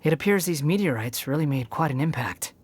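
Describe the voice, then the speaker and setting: A man playing a suave young wandering stranger in a video game, smooth-talking and politely amused. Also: on the high side, lively intonation, fairly quick, a little hiss or hum, close to an everyday voice. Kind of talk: game character acting.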